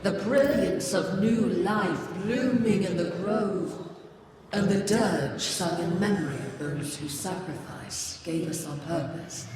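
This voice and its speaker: An actor playing a solemn, ancient nature spirit in a video game, chanting poetically and portentously through heavy reverb. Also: male voice, high voice, recorded from a distance. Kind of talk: slow, chanting dramatic monologue. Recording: cavernous echo, long reverb, noisy ambience.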